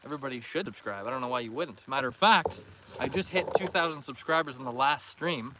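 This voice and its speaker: A man's voice: medium pitch, animated, quick pace. Male Canadian livestream host, mid-range voice, friendly off-the-cuff chat with viewers, noisy outdoor canoe recording. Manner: friendly, welcoming, thanks and greets viewers by name. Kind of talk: casual livestream chat, reading and answering viewer comments.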